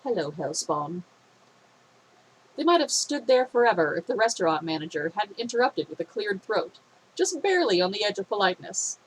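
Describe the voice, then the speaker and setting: A woman reads a story aloud with a steady, expressive delivery. Her voice sounds a little phlegmy. Faint hiss sits under the recording. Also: medium pitch, fairly quick, poor audio. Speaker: fan fiction reader.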